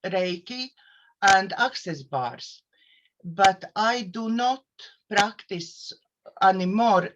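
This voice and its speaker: A woman with a Latvian accent speaks slowly and deliberately, pausing on "uh" as she picks her English words. She introduces herself to viewers as a coach and therapist. The sound is a little dull and lo-fi. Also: mid-range voice, a bit bright. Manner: careful non-native English with hesitant fillers. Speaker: host, life coach and therapist. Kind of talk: self-introduction to camera.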